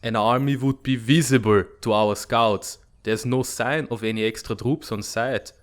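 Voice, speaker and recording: A man's voice: mid-range voice, steady tone, moderate pace, lightly acted. A man playing a pragmatic, impatient military strategist with a blunt, matter-of-fact manner in a comic fandub. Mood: decisive. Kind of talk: dramatic comic fandub acting.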